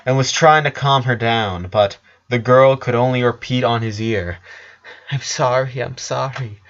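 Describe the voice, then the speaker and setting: A man reading a scripted story as narrator, in a measured way with pauses between phrases. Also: medium pitch, fairly bright tone. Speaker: fan fiction narrator. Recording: dull lo-fi voice recording.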